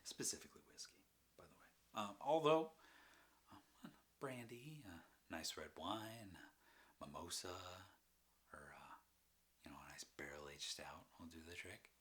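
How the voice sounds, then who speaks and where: A man performing a halting, rambling confessional monologue as an anguished, troubled man, with sighs and bitter emphasis. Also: low voice, animated, a bit bright. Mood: pleading, defensive. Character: anguished, mentally ill man confessing and defending his drinking. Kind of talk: dramatic stage monologue, confessional.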